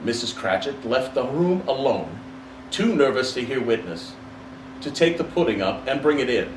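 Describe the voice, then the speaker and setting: Male narrator for literary storytelling; animated delivery, recorded in a roomy space away from the mic. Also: medium pitch, moderate pace. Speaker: storybook reader. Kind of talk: expressive dramatic literary narration. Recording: roomy, distant mic, faint background noise.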